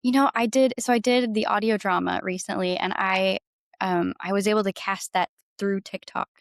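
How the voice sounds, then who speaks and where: A woman's voice: medium pitch, fairly even intonation, normal speed, gravelly, no echo, studio quality. A woman chatting casually as a podcast guest, with an enthusiastic, informal way of talking. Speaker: podcast guest, singer-songwriter. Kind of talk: casual chat.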